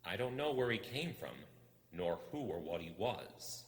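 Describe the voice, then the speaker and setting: Male narrator, low-pitched and very expressive, suspenseful storybook reading with deliberate pauses, heavy reverb. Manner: theatrical, deliberate pauses, hushed at times. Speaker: storybook reader. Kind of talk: dramatic, suspenseful scripted narration. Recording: echoey, long reverb.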